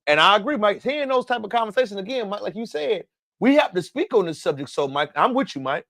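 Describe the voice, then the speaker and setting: High-voiced hype-man livestream co-host. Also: animated, fast, creaky, a bit bright, dry recording, studio quality. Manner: keeps addressing his co-host by name, hype-man agreement. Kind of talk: casual political debate chat.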